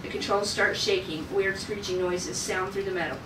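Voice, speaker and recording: A woman's voice: mid-range voice, steady tone, moderate pace. A woman reading stage directions aloud as narrator at a table read of a script. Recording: echoey room, distant mic, noisy.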